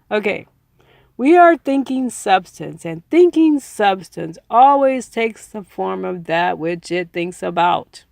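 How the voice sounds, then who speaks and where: Creaky-voiced woman reading self-help aloud. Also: mid-range voice, a little mellow, no echo, clean studio sound. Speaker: audiobook reader adding personal commentary. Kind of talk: read-aloud self-help narration with informal asides.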